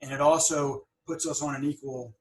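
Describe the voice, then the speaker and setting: Middle-aged guy hosting a chat, stutters some, earnest, kinda high voice. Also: steady tone, normal speed, darkish tone, a little hiss or hum, rough recording. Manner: earnest, stammers and repeats words. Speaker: podcast host; dentist and practice owner. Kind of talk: conversational interview.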